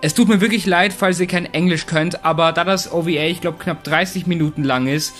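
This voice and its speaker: Apologetic man with a highish voice. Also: fairly quick, a bit bright, faint music behind. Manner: apologetic, hesitant. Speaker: fan dubber introducing a video. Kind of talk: casual spoken intro to viewers.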